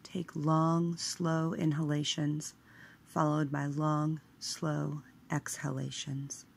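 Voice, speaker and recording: A woman with a low voice and a gravelly creak reads a guided meditation. Her delivery is very slow and measured, hushed at the ends of phrases. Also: little pitch movement.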